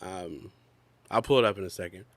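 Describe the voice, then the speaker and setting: Young man, low voice, congested and coughing, relaxed podcast co-host. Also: brisk, no room sound. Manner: chatty, rambling with many fillers and laughs. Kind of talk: casual chat. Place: outdoors.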